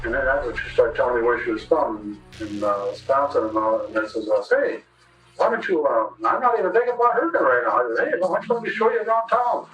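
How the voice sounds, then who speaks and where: A man giving halting, rambling, unscripted answers as a convict in a police interview, on an archival tape. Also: mid-range voice, sing-song, a bit bright, faint music behind. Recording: echoey, distant, muffled archival interview tape.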